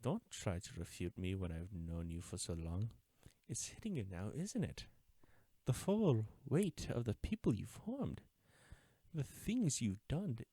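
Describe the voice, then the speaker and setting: Man acting a deep-voiced, uptight government agent. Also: very animated, warm tone, husky, dry recording, exaggerated voice. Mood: stern, reproachful. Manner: formal, deliberate, taunting with wistful undertones. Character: uptight male government agent, smug yet mourning a lost friend. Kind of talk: scripted audio roleplay drama, addressing the listener.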